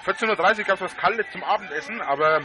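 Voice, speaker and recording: An actor playing a whiny, ever-hungry glutton of a convict in a parody audio play. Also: male voice, high-pitched, fast talker, background noise, natural voice. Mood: whining.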